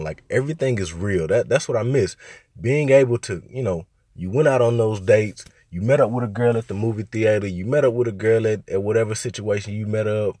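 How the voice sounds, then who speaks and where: A young man from Arkansas hosting a casual solo podcast, talking in a laid-back, rambling way with lots of fillers, his voice a little hoarse from a cold. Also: lowish voice, a little mellow, no echo, clean studio sound. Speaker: podcast host.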